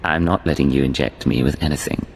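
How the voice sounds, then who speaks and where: An actor playing a blunt, guarded imperial secret agent in a video game, terse and dry. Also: male voice, a bass voice, quick pace, vocal fry.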